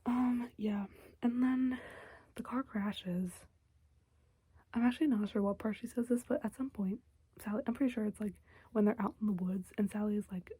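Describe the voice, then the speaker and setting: A young woman recaps a show in a close, soft whisper. Her voice is very breathy and mellow, and the sound is dry with no room around it. She rambles casually and fills gaps with "like" and "um". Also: mid-range voice, normal speed, no room sound. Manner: soft whisper, chatty with many fillers. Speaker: TV episode recapper.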